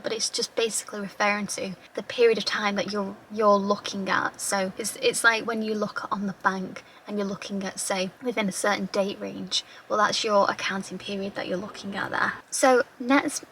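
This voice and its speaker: A woman tutor with a slightly croaky voice, patiently explaining accounting terms with everyday examples. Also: medium pitch, pushed and bright, a little hiss or hum, poor audio. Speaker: finance tutor.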